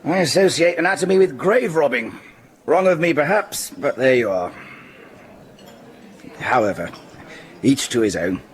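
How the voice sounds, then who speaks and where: A man playing a courteous but squeamish, opinionated gentleman in a radio drama, with a formal, measured manner. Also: mid-range voice, lively intonation, breathy edge. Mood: disapproving.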